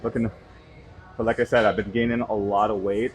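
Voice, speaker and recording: A man's voice: on the low side, brisk, soft background music, poor audio. A man in his thirties, a fitness vlogger, talking casually in a gym with plenty of fillers. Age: 30s. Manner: relaxed, rambling, with fillers. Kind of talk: casual vlog chat. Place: gym, indoors.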